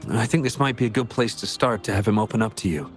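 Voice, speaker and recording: A man's voice: lowish voice, quick pace, faint music behind, lightly acted. A man voicing an earnest, caring young male researcher in a video game. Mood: worried, pleading. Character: earnest young male researcher worried for an estranged childhood friend.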